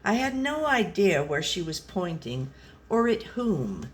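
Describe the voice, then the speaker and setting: Slow, deadpan storybook pet read by a woman. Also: fairly low pitch, a little hiss or hum. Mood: wary, puzzled. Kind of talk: scripted storybook narration.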